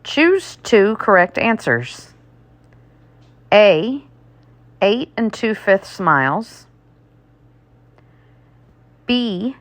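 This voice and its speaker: A woman teacher reading math test questions aloud, deliberately, item by item. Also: medium pitch, a bit bright, no echo.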